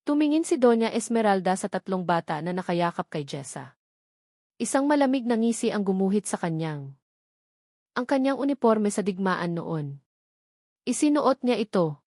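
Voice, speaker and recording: A woman's voice: medium pitch, darkish tone, no echo, clean studio sound. A woman reading a scripted love-drama story as a dramatic narrator.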